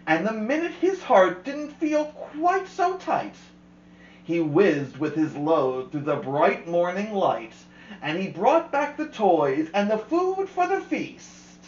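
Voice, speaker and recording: A woman host reading a children's rhyming picture book aloud with expressive, rhythmic delivery. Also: medium pitch, slow pace, reverberant, dull audio. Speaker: storyteller host.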